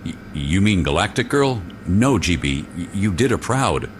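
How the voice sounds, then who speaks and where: A man's voice: deep voice, very animated, moderate pace, a little hiss or hum. A man playing a fatherly military boss in a radio serial, folksy, hesitant and reassuring. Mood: consoling. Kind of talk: mock radio serial acting.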